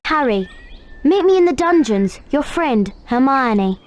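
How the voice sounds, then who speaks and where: A woman's voice: high-pitched, expressive, unhurried. A woman voicing an earnest, bossy young schoolgirl witch in a video game, urgent. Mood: formal. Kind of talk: video game cutscene acting. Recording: clearly noisy old game audio.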